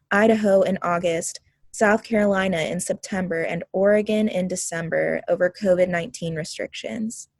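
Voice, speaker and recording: A woman reading an essay aloud at a group reading, in a measured, deliberate way. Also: medium pitch, vocal fry, a little mellow, no room sound.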